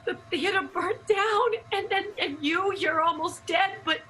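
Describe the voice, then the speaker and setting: A woman's voice: very high-pitched, measured pace, sharp tone, exaggerated voice, rough recording. A woman playing a troubled, devoted hard-drinking lover in an improvised radio soap, melodramatic and urgent. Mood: remorseful, tearful.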